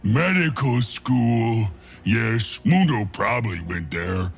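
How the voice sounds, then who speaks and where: An actor voicing a hulking, dim-witted mad brute who thinks he is a doctor, with a gravelly voice and broken caveman grammar, for a video game. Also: mid-range voice, expressive, slow pace, soft background music. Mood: muddled, confident. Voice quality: gravelly, husky. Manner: broken caveman grammar, speaks of himself in third person. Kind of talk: video game character voice lines.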